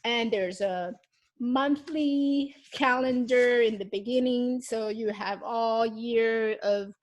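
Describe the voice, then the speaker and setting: A woman's voice: on the high side, slow, rough recording. A woman in her thirties whose first language is Mandarin Chinese, talking casually in English to her channel's viewers, with frequent ums and restarts as she shows her notebooks. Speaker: YouTube host. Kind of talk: casual vlog talk.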